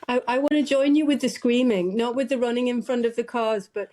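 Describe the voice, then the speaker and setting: A woman's voice: on the high side, a little fast, warm tone. A British woman hosting a conversational podcast discussion, speaking earnestly and with some frustration. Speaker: podcast host.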